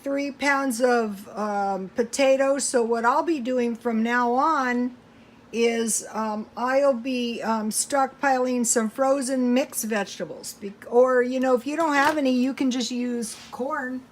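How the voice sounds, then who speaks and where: A woman's voice: on the high side, fairly even intonation, takes it slowly, slight background noise. A woman chatting casually to viewers while cooking at home, thinking aloud in a rambling, folksy way. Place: home kitchen.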